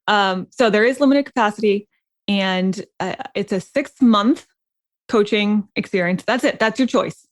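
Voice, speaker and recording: A woman co-hosting a coaching podcast in casual chat, her voice slightly raspy from a lingering cough. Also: mid-range voice, a little slow. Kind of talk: casual chat between co-hosts.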